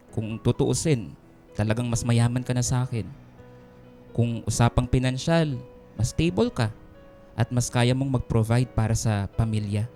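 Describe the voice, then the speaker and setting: An actor playing an insecure, devoted young boyfriend in a radio drama, speaking earnestly and hesitantly. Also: male voice, mid-range voice, dark tone, lightly acted. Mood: earnest, doubting. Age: young adult. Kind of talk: Filipino radio drama dialogue. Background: background music.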